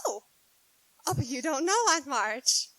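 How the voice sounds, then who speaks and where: A frank, spirited young woman, voiced by a woman, chatters eagerly in a 1940s-style radio play. Her voice is high, and the sound is close and dry. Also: lively intonation, unhurried, a bit bright, no room sound, full character voice, low-quality recording. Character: spirited outspoken young woman, tomboyish writer. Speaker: radio play actor and narrator.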